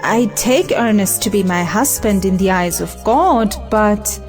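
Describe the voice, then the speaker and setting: A woman's voice: mid-range voice, expressive, measured pace, a little mellow, faint music behind, lightly acted. A woman playing an idealistic, resolute bride in an audio play, impassioned and emphatic. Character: resolute, idealistic bride defending free love. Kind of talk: audio stage play acting.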